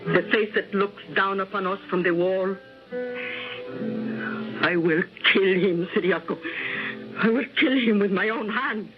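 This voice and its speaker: A woman playing a passionate old peasant mother with a Latin American Spanish accent, halting and theatrical, in old-time radio drama style. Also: mid-range voice, muffled recording, close to an everyday voice. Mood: anguished, vengeful. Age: older. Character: passionate old peasant mother, fiercely loyal, later vengeful. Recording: band-limited old radio recording, music behind.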